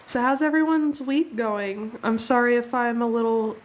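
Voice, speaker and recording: A woman's voice: on the high side, fairly even intonation, normal speed, a little hiss or hum. Sniffly young woman chatting while she draws. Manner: chatty, thanks viewers, laughs easily. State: slightly sniffly. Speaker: art livestream host. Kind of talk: casual live drawing chat.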